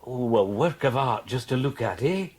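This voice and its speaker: An actor playing a kindly piano tuner gently coaxing a child in a period audio drama, savouring his words. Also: mid-range voice, expressive, moderate pace, lightly acted. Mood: admiring.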